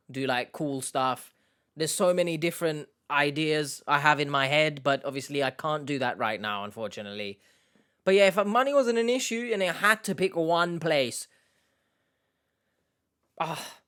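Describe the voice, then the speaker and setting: Jokey young man, clear voice. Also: on the high side, a bit bright. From Turkish Cypriot heritage, based in the UK. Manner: jokey, rambling, self-mocking. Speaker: YouTube host. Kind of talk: casual Q&A chat, reading out viewer questions.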